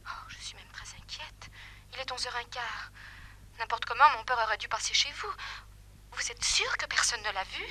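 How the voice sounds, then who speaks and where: Actress as an anxious, high-voiced young woman. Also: normal speed, noisy background, lightly acted. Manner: anxious, insistent, courteous. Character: worried, polite young daughter of a businessman. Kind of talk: 1960s French radio play acting.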